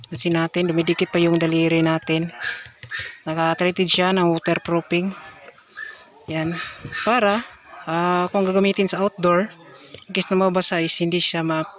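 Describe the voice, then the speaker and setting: A Filipino man giving a casual hands-on product demonstration, speaking haltingly with frequent short interjections. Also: sits high, a bit bright, a little hiss or hum. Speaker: product reviewer.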